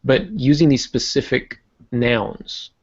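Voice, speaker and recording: A man's voice: mid-range voice, slow pace, husky, lo-fi sound. A man talking as a podcast host and writing teacher, explaining informally, stressing key words and posing rhetorical questions. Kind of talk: conversational teaching about writing.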